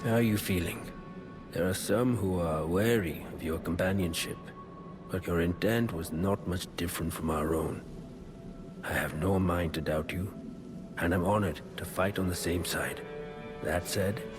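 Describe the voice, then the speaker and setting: An actor playing an honorable, old-fashioned, fair-minded knight in a fantasy game, speaking formally and with measure. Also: male voice, deep voice, unhurried, music in the background. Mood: earnest, measured. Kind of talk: video game character dialogue.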